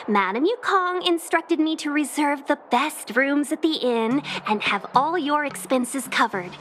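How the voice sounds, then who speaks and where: A woman playing a courteous, poised young female envoy in a video game, speaking politely and formally. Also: high-pitched. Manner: polite, formal, smoothly hospitable. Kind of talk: video game character dialogue.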